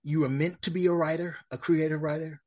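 A radio interviewer asking brief, measured questions and offering steady backchannels. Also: mid-range voice.

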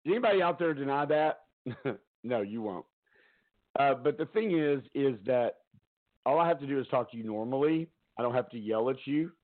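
A man hosting a casual talk stream from home, loud-voiced, rambling and chatty, often laughing, talking into a headset. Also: mid-range voice, animated, moderate pace, phone-quality sound. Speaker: talk show host.

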